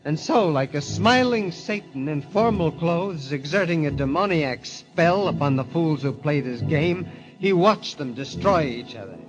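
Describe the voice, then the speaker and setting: An actor playing a bitter, haunted young gambler turned murderer, grave and intense, in old-time radio drama style. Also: male voice, on the high side, lively intonation, a little slow. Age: young adult. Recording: muffled vintage radio recording, music behind.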